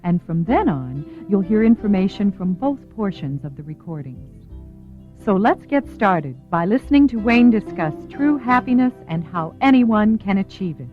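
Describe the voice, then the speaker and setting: A woman's voice: medium pitch, very animated, normal speed, soft background music. A woman reading scripted promotional narration as the announcer of a recorded program. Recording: tinny, echoey, band-limited audio.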